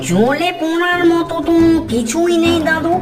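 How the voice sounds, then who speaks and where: A woman's voice: very high voice, moderate pace. A woman voicing an eager, stubborn young village boy in a Bengali moral-story cartoon, polite and persuasive. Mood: insistent. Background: music.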